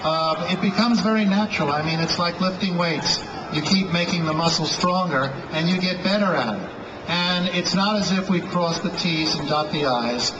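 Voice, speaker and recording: A man with a slightly high voice explains his craft in a steady, even-paced way. He sounds muffled and dull, caught from a distance in an echoey hall with background noise. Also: moderate pace. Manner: steady, explanatory, uses comparisons. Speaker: actor on a fan-event panel. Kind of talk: measured explanation to fans.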